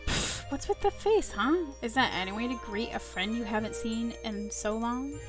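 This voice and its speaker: A woman's voice: a little high, expressive, moderate pace, natural voice. A woman voicing a casual, teasing young man, the heroine's old friend, in a romance story. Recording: loud music behind the voice.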